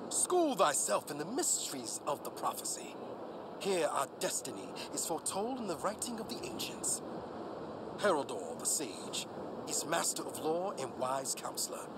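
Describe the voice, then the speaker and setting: A man's voice: high voice, very noisy, full character voice. A man playing a grandiose fantasy herald, pompously proclaiming in archaic speech for a video game. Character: grandiose fantasy herald intoning prophecy in live role-play. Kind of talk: theatrical video game narration.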